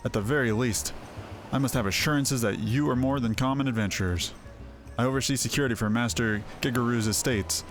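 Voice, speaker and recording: A man's voice: mid-range voice, lightly acted. Man playing a stern veteran mercenary captain, music behind. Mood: stern, doubtful. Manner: formal, blunt, measured archaic speech. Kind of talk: voiced fantasy game dialogue. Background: game music.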